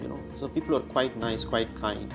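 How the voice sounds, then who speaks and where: A man's voice: medium pitch, steady tone, gravelly, music in the background. A man with a heavy Indian accent, telling stories as an interview guest and often checking in with "right?". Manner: ends many sentences with a questioning "right?"; fast talking. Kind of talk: conversational interview storytelling.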